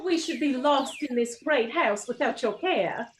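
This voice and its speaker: A woman's voice: high voice, expressive, measured pace, echoey room, slight background noise, low-quality recording. An actress playing a genteel, effusive and slightly flustered mother in a radio play. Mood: delighted.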